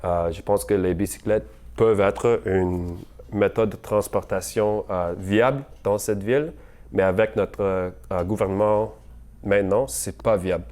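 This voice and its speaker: A young man giving an unscripted campaign message, hesitant and halting, with many fillers and slips into English words. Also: on the low side, steady tone, darkish tone. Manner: apologetic about his French. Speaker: mayoral candidate.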